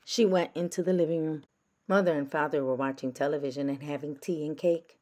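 A woman narrating a children's storybook aloud in a measured, gentle manner. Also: deep voice, steady tone. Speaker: storybook reader.

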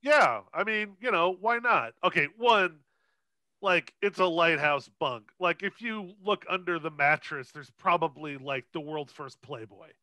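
A man's voice: fairly high pitch, animated, unhurried, pushed and bright, no room sound. A man co-hosting a podcast, chatting casually about films in a profane, irreverent way. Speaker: podcast co-host. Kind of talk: casual, unscripted film discussion.

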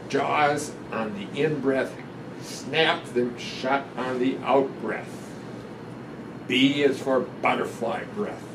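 An elderly man reads a picture book to children with gentle, deliberate instruction. His voice sits slightly high for a man. He is picked up from a distance in a roomy space, with a clear ventilation hum behind him. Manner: gentle, deliberate, instructive, pausing between phrases. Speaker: guest doctor reading a picture book aloud. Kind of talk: children's storytime read-aloud with guided breathing instructions. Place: indoor room in front of a sequined curtain.

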